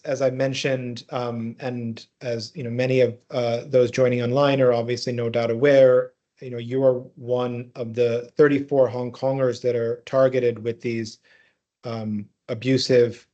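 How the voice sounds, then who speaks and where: A man moderating an online panel, putting questions in a formal, halting and roundabout way. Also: medium pitch, darkish tone. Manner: halting, measured, roundabout phrasing. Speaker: webinar moderator. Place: online webinar call. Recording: dull, lo-fi web call audio.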